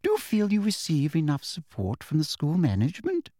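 Brief: man playing a prissy witch official, raised voice, sugary menace, mellow dry tone. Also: fairly high pitch, wildly swooping pitch, a little fast, dry recording, pitched above the performer's own voice. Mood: sugary, coolly polite. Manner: sweet, falsely polite, softly threatening. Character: prim middle-aged witch inspector, sugary and menacing. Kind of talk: audiobook character voice.

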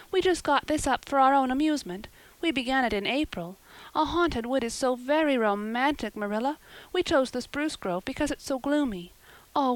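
A woman's voice: high voice, dry recording, voice pushed up, lightly acted, low-quality recording. A woman voicing an imaginative, earnest young storybook girl who gushes and pleads in wordy, romantic speeches. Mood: dramatic, fearful.

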